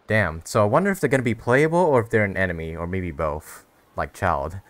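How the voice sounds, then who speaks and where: A man's voice: deep voice, a little fast. A man doing casual let's-play commentary in a rambling, repetitive way. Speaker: gaming YouTuber.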